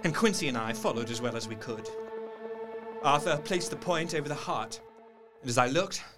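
A man playing an earnest gentleman doctor, narrating a gothic horror radio play in a dramatic, literary manner. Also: medium pitch, lively intonation, moderate pace, over music, natural voice. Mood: solemn, measured.

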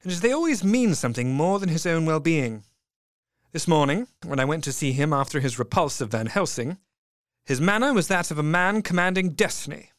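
An inquisitive Victorian asylum doctor, played by a male actor, narrates case notes in a highish, animated voice. He sounds analytical and expressive. The audio drama monologue is recorded very dry. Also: a little fast, dry recording, lightly acted. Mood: puzzled, probing.

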